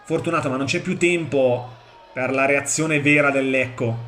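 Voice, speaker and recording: A man commentating football highlights, describing each play analytically in short clipped phrases. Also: normal speed, a bit bright, soft background music.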